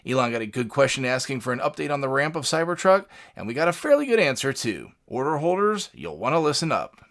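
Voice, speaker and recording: Male podcast host, medium pitch, highly varied intonation, scripted commentary narration. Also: big pitch swings, fast talker, a bit bright.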